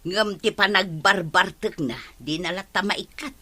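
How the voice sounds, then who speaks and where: Woman acting aggrieved village wife, Ilocano accent, bright tense voice, medium pitch, long-suffering, radio comedy drama. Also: measured pace. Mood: aggrieved, weary.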